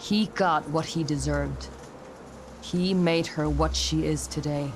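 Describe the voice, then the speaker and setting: Blunt, guarded young adventurer. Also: female voice, low-pitched, fairly even intonation, slow. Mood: guarded, firm. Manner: blunt, terse, dry. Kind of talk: video game cutscene acting. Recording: loud game score behind. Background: music.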